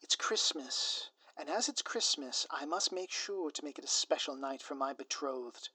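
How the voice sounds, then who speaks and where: A man's voice: a little high, lively intonation, normal speed, pushed and bright, slightly rough, no room sound, heavily acted. A man playing a grandiose, scheming supervillain in an audio roleplay, with florid, pompous, melodramatic delivery. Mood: theatrical, taunting. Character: grandiose theatrical male supervillain, lovesick and scheming. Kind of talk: scripted audio roleplay monologue.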